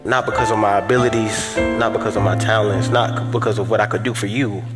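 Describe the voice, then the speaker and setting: An actor playing a grateful, remorseful young football player in a stage play monologue. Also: male voice, on the low side, fairly even intonation, fast, natural voice. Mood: earnest, remorseful. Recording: loud piano music over stage dialogue.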